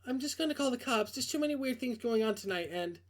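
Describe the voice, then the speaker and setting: A man voicing a frightened, hesitant young woman who talks in a hushed, anxious voice in a visual novel. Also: extremely high pitch, expressive, fast talker, pitched above the performer's own voice.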